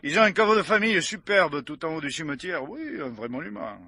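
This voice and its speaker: An actor playing an excitable, folksy cemetery caretaker in a radio mystery, stammering and rambling. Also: male voice, a little high, a little fast, gravelly, slightly rough, heavily acted. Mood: rambling, eager. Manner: flustered, stammering, chatty, mangles learned words. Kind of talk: French radio mystery play acting.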